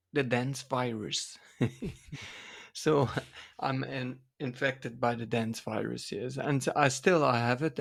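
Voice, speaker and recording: A man's voice: medium pitch, creaky. A middle-aged or older man, a native Dutch speaker, talking casually on a podcast and searching for his words in English. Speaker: podcast co-host, dance teacher and coach.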